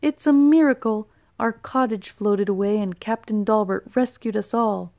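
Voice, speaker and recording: A woman voicing a frazzled mother toad in a storybook, loud and emphatic. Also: medium pitch, normal speed, voice pushed up, natural voice. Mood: relieved. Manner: yelling, emphatic.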